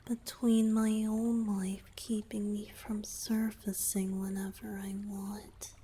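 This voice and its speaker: A woman voicing a lovesick young merman in a romantic ASMR roleplay, with a hesitant, earnest delivery in a breathy whisper. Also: medium pitch, little pitch movement, takes it slowly, warm tone, slight background noise, close to an everyday voice. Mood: melancholy, yearning. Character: lovesick young merman, tender, anxious and yearning.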